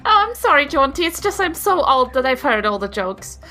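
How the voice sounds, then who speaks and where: Woman playing a bright, lively, wry old granny. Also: high-pitched, normal speed, faint music behind, pitched above the performer's own voice, heavily acted.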